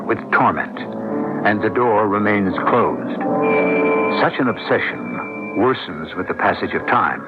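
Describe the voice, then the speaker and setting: Male radio announcer, low voice, measured dramatic narration, muffled recording, loud music behind. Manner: ominous, measured phrasing.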